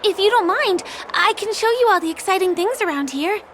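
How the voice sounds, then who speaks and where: Firefly, a sweet young guide. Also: female voice, extremely high pitch, animated, fairly quick, slight background noise. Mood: friendly. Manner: gentle, eager to please. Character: friendly young woman guide and stage performer. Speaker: game character. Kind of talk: game dialogue voice acting. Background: game music.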